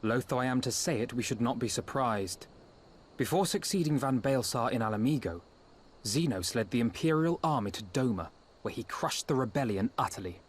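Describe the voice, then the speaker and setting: A young scholar, played by a man, lays out a plan in formal, deliberate speech. Faint noise sits under his voice. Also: mid-range voice, expressive, close to an everyday voice. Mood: analytical. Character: young male scholar-strategist, formal and analytical. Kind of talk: game cutscene voice acting.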